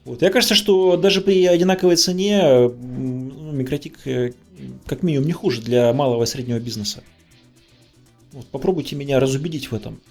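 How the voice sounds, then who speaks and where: A man's voice: mid-range voice, fairly quick, a little mellow, soft background music. A man in a casual tech discussion, talking informally like someone telling stories from work. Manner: informal, storytelling. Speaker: podcast guest.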